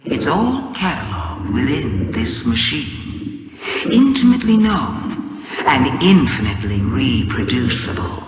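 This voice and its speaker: A woman playing an ancient, cunning alien witch queen in a theatrical video game monologue, sly, deliberate, taunting and grandiose. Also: a bass voice, heavily acted. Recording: echoey voice with long cavernous reverb.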